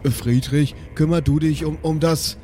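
A man talking casually and haltingly as a player in a tabletop game, thinking aloud. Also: on the high side, steady tone, warm tone. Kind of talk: casual improvised table talk. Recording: clear background noise.

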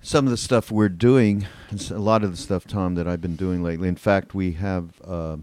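A man hosting a podcast in casual interview chat, halting and often repeating his words. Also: low-pitched, fairly even intonation, darkish tone, dry recording. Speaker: podcast host.

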